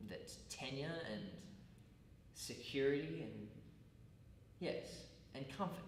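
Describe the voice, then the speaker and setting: A man performing a stage monologue as an earnest, self-justifying college teacher with formal, pedantic, halting phrasing. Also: medium pitch, lightly acted. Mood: measured, self-justifying. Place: large echoey room at a college. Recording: echoey hall, distant mic, faint noise.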